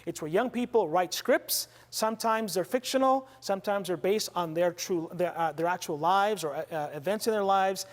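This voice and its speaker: A woman's voice: on the low side, normal speed, few pauses. Woman presenter in a long reverb. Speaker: event presenter. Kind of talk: semi-scripted introduction.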